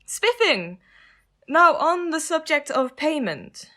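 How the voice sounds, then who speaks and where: A woman's voice: high voice, very animated, takes it slowly, sharp tone, heavily acted. A woman with an English accent playing a posh, fussy lady of the manor in a student radio play, speaking in a plummy, affected way. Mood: gracious.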